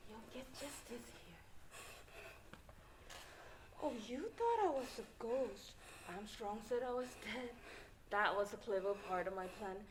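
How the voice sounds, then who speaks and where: A young woman acting a deranged, gloating judge turned murderer in a theatrical stage monologue, swinging between hushed menace and outbursts. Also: fairly high pitch, lively intonation, measured pace, long pauses. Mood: gloating, sinister. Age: young adult. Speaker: student stage actor. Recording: faint noise, live stage play.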